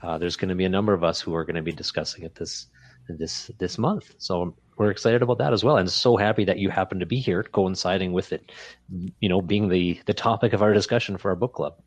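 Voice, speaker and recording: A man's voice: low-pitched, quick pace. A man co-hosting a podcast, chatting casually with a guest. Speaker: podcast co-host.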